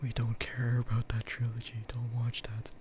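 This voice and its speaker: A man chatting casually in a soft, half-whispered ASMR style, rambling and hesitant as he streams close to the microphone. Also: mid-range voice, completely flat delivery, normal speed, highs cut off, slight background noise. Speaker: VTuber streamer.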